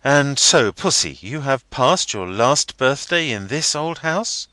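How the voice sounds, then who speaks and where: A man voicing a stiff, half-grumbling young gentleman fiancé. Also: mid-range voice, animated, no room sound, pitched above the performer's own voice, poor audio. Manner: measured, slightly sulky delivery.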